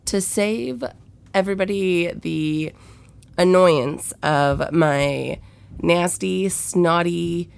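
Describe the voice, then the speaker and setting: Husky-voiced woman with a stuffy head cold. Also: very slow. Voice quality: low, gravelly, nasal. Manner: frank and profane, with many fillers. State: nasal from a head cold, sniffling. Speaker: podcast host. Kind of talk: candid solo podcast chat.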